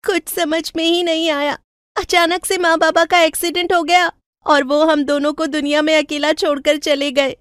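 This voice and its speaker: A woman's voice: high voice, level tone, normal speed, a bit bright, no room sound, clean studio sound, pitched above the performer's own voice. A woman playing a poor, sorrowful young orphan in storybook cartoon dialogue, plaintive and earnest. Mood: aggrieved, sorrowful.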